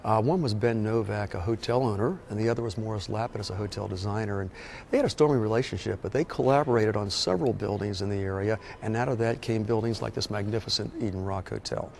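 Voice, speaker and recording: A man guiding a walking tour and explaining local history in a measured, informative way. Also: on the low side, creaky, a little mellow, slight background noise. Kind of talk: scripted walking-tour narration. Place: outdoors on a beach boardwalk, later a hotel lobby.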